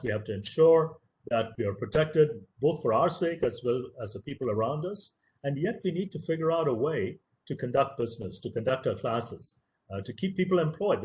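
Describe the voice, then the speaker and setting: A man whose first language is Tamil delivers a motivational lecture over a video call. His voice sits at an ordinary middle pitch, and he talks earnestly. He stresses key words and repeats phrases to drive his points home. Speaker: professor giving a webinar lecture.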